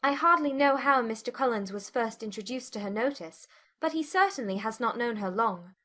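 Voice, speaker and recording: A woman playing a witty, forthright young Regency gentlewoman in a dramatized audiobook. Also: a little high, expressive, normal speed. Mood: curious.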